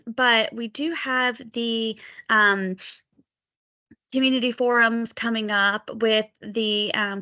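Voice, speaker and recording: A woman's voice: on the high side, pushed and bright, dull audio. A woman with a slight cold, a little sniffly, presenting agency updates in an online meeting. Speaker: government agency communications staffer.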